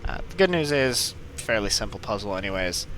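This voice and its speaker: A man's voice: on the low side, very animated, brisk, noisy background. A man giving casual, playful let's play commentary as host of a gaming video. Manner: jokey, playful. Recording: game music and gunfire behind. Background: game music, gunfire.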